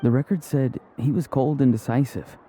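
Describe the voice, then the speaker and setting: Man playing a mellow, grave young warrior. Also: medium pitch, fairly even intonation, a little hiss or hum, natural voice. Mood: serious, puzzled. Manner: measured, serious. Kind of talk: English video game character acting.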